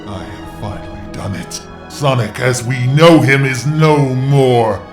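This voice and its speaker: A man's voice: medium pitch, reverberant, over music, close to an everyday voice. A man playing a gloating evil robot overlord in an audio drama, with a grandiose, commanding villain delivery. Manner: slow, grandiose villain delivery with sudden shouts. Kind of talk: fan audio drama acting.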